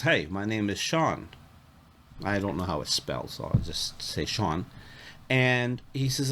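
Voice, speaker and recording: A man talks to his online viewers in a voice of medium pitch. He tells his story conversationally and explains things deliberately, restating points for emphasis. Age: 60s. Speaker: YouTube host, AV technician.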